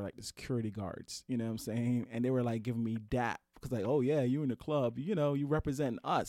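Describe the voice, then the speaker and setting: A man's voice: mid-range voice, a little mellow, dry recording, clean studio sound. A man hosting an interview, asking questions casually with slang and short reactions. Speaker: podcast host. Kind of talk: conversational interview.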